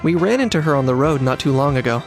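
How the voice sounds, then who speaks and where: A man's voice: a little high, expressive, fast talker. A man voicing a polite, unruffled young man with a dry manner in a video game. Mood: calm. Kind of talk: video game character acting. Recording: music behind the voice.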